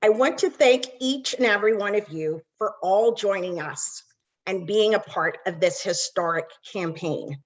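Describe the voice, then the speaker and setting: A woman's voice: medium pitch, normal speed, lo-fi sound. Earnest woman opening a webinar. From Barbados. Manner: earnest, with frequent ums. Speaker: nonprofit executive. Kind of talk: webinar welcome speech.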